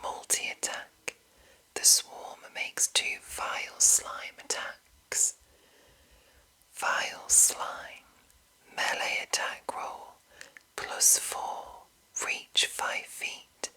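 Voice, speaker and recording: Slow, breathy whispering ASMR woman. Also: bright, forceful tone, rough recording. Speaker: ASMR host reading a monster manual.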